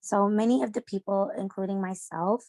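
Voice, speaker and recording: A young woman from Sri Lanka, a podcast guest talking casually and haltingly, with many fillers and restarts. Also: medium pitch, steady tone, a little mellow, no echo. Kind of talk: casual discussion.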